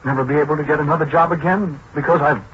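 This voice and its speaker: An American actor playing a gentle, disgraced scientist in a 1940s radio drama, hesitant and apologetic, with self-pity in his delivery. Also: a little high, fairly even intonation, close to an everyday voice. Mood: hesitant, downcast. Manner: hesitant, halting, self-pitying. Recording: muffled, noisy 1940s radio recording.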